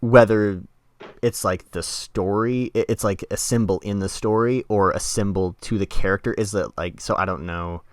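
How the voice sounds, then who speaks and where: Male podcast co-host, lowish voice, informal and tentative banter, no room sound. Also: steady tone, moderate pace, no room sound. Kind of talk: casual joking chat.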